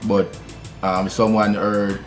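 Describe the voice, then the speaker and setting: A Jamaican man, a musician, telling his story in a relaxed interview, with many fillers and easy laughs. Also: on the low side, slow pace, over music. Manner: laid-back, many fillers, laughs easily. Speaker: musician guest.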